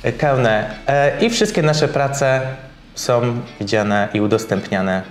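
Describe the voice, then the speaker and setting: Man, 30s, salon owner, measured testimonial read, middle pitch, roomy room, faint music bed. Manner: measured, slightly formal with filler pauses. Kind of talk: sponsored testimonial interview. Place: bright hair salon, indoors.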